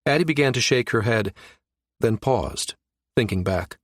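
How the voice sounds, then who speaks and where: A man's voice: medium pitch, expressive, a little slow, no echo, studio quality. A man reading a science fiction audiobook as scripted narration.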